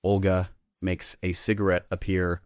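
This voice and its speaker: A man's voice: low-pitched, completely flat delivery, normal speed, a little mellow, no echo, clean studio sound. A man narrating stage directions in a scripted table read.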